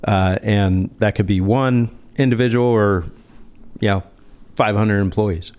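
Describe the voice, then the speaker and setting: A man's voice: on the low side, unhurried, a little mellow. A man speaking as a financial advisor in a conversational interview, explaining step by step and posing rhetorical questions.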